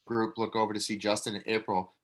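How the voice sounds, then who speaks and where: A man's voice: fairly low pitch, little pitch movement, fast talker. A man narrating stage directions at a live script table read.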